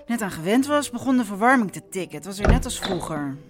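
A woman's voice: mid-range voice, expressive, fast talker, close to an everyday voice. A woman playing an ironic, needling grown daughter in a naturalistic radio drama. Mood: wry. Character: sarcastic, probing grown daughter.

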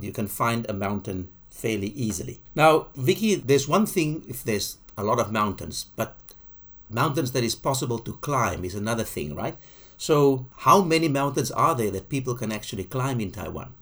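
A man hosting a studio interview podcast, asking questions in a measured way with fillers. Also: mid-range voice, expressive, a bit bright. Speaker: podcast host. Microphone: studio mic.

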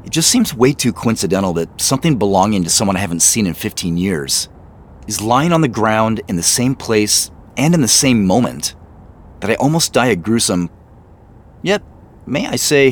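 An actor playing a wry, self-deprecating tech boss in an audio drama, conversational and playful yet guarded. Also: male voice, fairly low pitch, very animated, normal speed. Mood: playful, amused. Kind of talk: naturalistic audio drama acting.

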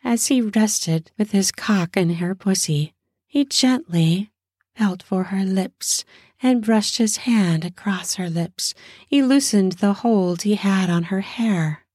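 A woman narrating a scripted erotic story in an intimate, deliberate manner with drawn-out phrasing. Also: mid-range voice, darkish tone, slightly rough, dry recording, studio quality.

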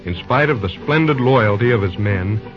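A man's voice: on the low side, animated, moderate pace, over music. A man announcing an old-time radio serial with declamatory, rhetorical scripted narration. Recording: dull old radio recording.

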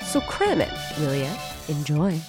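A woman's voice: low-pitched, sing-song, measured pace. A woman presenting a podcast with a theatrical, quirky, comic introduction. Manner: playful, mock-dramatic, quirky. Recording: music bed behind.

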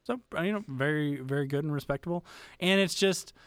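Relaxed male co-host, dry close sound. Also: fairly high pitch, a little fast, clear, resonant tone, no room sound. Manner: relaxed, shares trivia. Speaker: podcast co-host. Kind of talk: casual chat.

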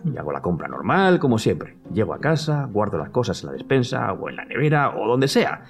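Audio drama: male actor as an agitated man with a Castilian accent, nervously rambling, very lively pitch, music underneath. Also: a little fast, gravelly. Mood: earnest, puzzled. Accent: Castilian Spanish. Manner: rambling, nervous, repeating himself. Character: agitated man convinced his house hides his favourite food. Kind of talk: Spanish audio drama acting.

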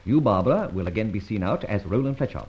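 An actor playing a debonair, self-assured gentleman rogue in a breezy, urbane manner, as in an old radio adventure serial. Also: lowish voice, expressive, fast talker, breathy edge. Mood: brisk, assured. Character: suave, debonair gentleman adventurer, secretly a roguish thief. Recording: dull, lo-fi old radio recording.

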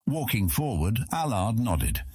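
A man narrating a scripted fantasy audiobook as a measured, expressive storyteller. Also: lowish voice, slightly rough, dry recording, clean studio sound.

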